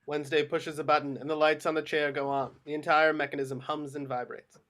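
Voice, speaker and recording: A man's voice: fairly high pitch, little pitch movement, a little fast, a bit bright. A man reading out screenplay action directions as scripted narration at a table read. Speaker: action-line reader.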